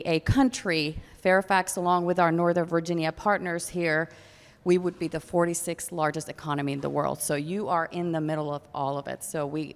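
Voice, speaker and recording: A woman originally from Finland, an economic development official, briskly welcoming the audience and introducing the next speaker at a conference. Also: lowish voice, big-room sound. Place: conference hall.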